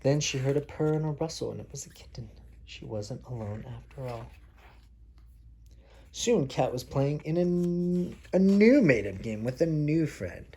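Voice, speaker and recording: A man's voice: fairly high pitch, lively intonation, moderate pace, dark tone, husky. A man reading a picture book aloud to a child as a father, explaining things simply along the way. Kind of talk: picture book read-aloud with casual asides. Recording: faint background noise.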